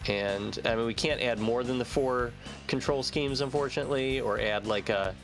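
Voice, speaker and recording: A man's voice: medium pitch, normal speed. A man chatting casually and explanatorily as a member of a game translation team, in a conversational way. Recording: music playing behind the voice. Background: music.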